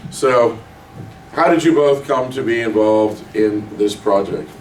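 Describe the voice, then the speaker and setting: A man hosting a convention panel Q&A, asking questions in a relaxed way with many fillers. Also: medium pitch, measured pace. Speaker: Q&A host. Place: convention hall stage. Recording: echoey hall from far off with faint noise.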